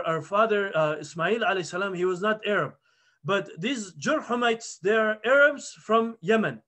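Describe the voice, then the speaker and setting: A man's voice: high-pitched, a bit bright. A man in his thirties teaching a halaqa, earnestly retelling a prophet's story with frequent restarts, recorded on a webcam indoors. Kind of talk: storytelling religious lesson. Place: plain indoor room. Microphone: webcam video call.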